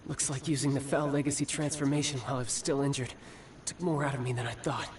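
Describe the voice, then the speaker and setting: An actor playing an injured, cocky young warrior who teases smoothly and speaks fondly of family. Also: male voice, medium pitch, steady tone, fast, background noise, natural voice. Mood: wry, weary. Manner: smooth, playful, teasing. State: weakened, injured. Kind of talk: video game cutscene voice acting. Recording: slightly distant, music behind.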